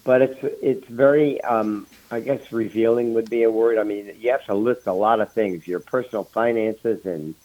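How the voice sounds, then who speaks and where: An older American man chatting casually as a guest, with a halting, hesitant delivery. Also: lowish voice, steady tone, darkish tone, rough recording. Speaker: guest, former mayor. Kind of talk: casual chat.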